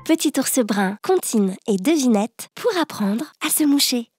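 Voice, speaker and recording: A woman's voice: a little high, animated, a little slow, darkish tone, husky, no echo, soft background music. A woman narrating a children's cartoon, patiently reading riddles aloud and encouraging little ones to guess. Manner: patient and encouraging, speaks directly to young children. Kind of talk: gentle scripted narration for toddlers.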